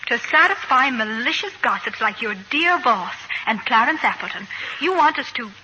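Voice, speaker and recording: An actress playing a spirited, loyal suburban housewife in old radio comedy, quick to tease or bristle. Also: female voice, high-pitched, lively intonation, pushed and bright. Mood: indignant. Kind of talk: 1940s radio comedy acting. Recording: slightly noisy old radio broadcast.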